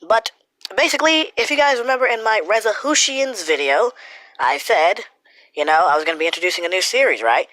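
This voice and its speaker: A man's voice: sits high, animated, a little fast, pushed and bright, dry recording, low-quality recording. A man with a cold and a stuffy nose, rambling through a casual commentary with lots of swearing and filler words. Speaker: YouTuber commentator.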